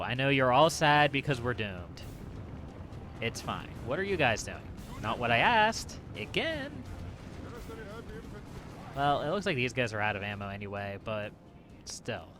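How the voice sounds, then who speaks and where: A man's voice: mid-range voice, animated, normal speed, over music. A man giving casual let's play commentary on a video game, thinking aloud in a wry, resigned way. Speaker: gaming channel host.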